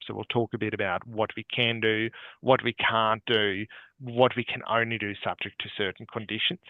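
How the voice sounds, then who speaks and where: A man's voice: lowish voice, no echo. A man who grew up in Singapore, an accountant presenting a webinar in a friendly, informal way over a video call. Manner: friendly, informal, encourages questions. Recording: tinny phone-like video call audio.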